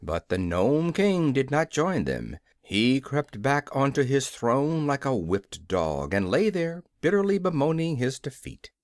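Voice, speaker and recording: Animated male storybook narrator. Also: mid-range voice, measured pace, few pauses, dry recording, studio quality. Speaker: audiobook narrator. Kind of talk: expressive children's storybook narration.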